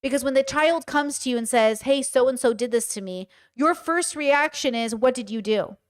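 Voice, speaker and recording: A woman's voice: sits high, normal speed. Candid, swearing woman streamer. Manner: candid, profane, talks to her audience with rhetorical questions. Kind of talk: livestream commentary, reading chat.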